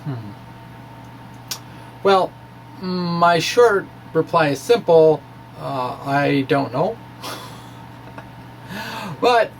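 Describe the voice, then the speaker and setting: A man giving an informal, self-deprecating technical ramble in a hesitant way, with animated asides. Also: a little high, creaky, a little hiss or hum. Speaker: physics blogger presenting his own work. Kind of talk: informal technical ramble, reading of own blog.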